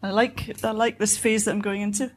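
A woman's voice: mid-range voice, flat delivery, a little fast. Scottish woman, fifties, reflective author, self-deprecating conversational answers, background noise. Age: 50s. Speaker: author and poet answering questions. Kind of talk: conversational answers at a book event.

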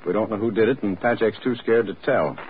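An actor playing a gruff, harried prison warden, speaking bluntly and with weight in an old radio drama. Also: male voice, on the low side, fast. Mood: terse, grave. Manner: blunt, declamatory. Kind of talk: 1940s-50s radio drama acting. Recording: muffled, hissy old radio recording.

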